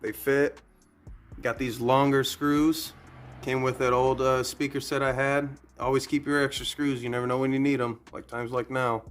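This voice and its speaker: Flat-toned man giving a hands-on tutorial. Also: mid-range voice, normal speed, faint music behind. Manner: plainspoken, explains step by step. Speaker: host of DIY car audio videos. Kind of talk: casual hands-on tutorial narration.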